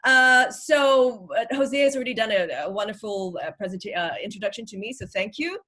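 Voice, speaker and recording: A woman from Singapore with a Singaporean accent, giving an energetic, upbeat workshop talk over a video call. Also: mid-range voice, a little fast. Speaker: workshop speaker. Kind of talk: online workshop presentation. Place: home room with virtual background.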